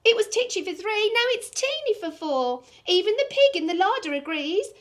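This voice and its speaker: A woman's voice: very high-pitched, sing-song, normal speed, pitched above the performer's own voice. A woman voicing a flustered, grumbling little old lady in a storybook, exclaiming and pleading dramatically. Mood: distressed.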